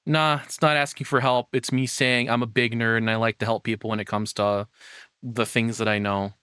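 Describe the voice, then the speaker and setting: A man's voice: medium pitch, flat delivery, moderate pace, sharp tone, clean tone, no echo, studio quality. A man streaming a casual, opinionated rant, rambling and self-deprecating as he chats with viewers. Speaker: streamer and VTuber host.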